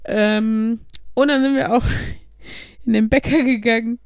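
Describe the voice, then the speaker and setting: A man's voice: very high-pitched, steady tone, a little slow, vocal fry, clear, resonant tone, no echo, clean studio sound. A young man chatting casually as a podcast co-host, telling rambling anecdotes with frequent giggles. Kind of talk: casual chat.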